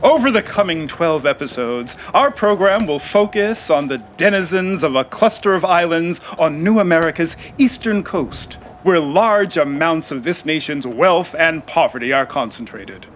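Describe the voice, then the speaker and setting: An actor playing the grandiose, ominous narrator of a satirical sci-fi serial, speaking in a dramatic, deliberate way. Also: male voice, on the high side, lively intonation, a bit bright, a little hiss or hum. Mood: solemn, ominous. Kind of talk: theatrical scripted narration.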